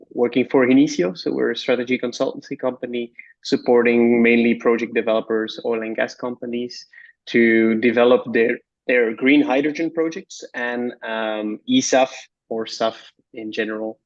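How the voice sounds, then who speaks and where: Relaxed man presenting over a muffled call. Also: medium pitch. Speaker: strategy consultant, panelist. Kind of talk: presentation on a webinar. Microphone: video call. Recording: dull call audio.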